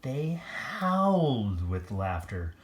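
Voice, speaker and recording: A children's picture-book storyteller reads slowly and theatrically. The pitch rises and falls widely, and playful asides break up the narration. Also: big pitch swings. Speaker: read-aloud storyteller.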